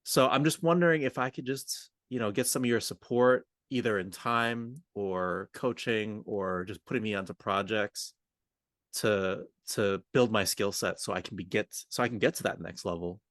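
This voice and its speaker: Man, low voice, dry recording, informal podcast co-host, conversational coaching talk, thinks aloud. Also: normal speed, fairly bright tone, dry recording. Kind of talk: conversational coaching discussion with roleplay.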